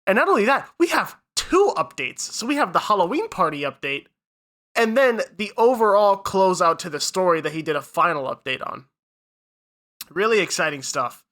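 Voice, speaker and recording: A man's voice: sits high, big pitch swings, normal speed, fairly bright tone. A man hosting a casual podcast chat, sniffly from a head cold, upbeat and running the intros and plugs. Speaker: podcast co-host.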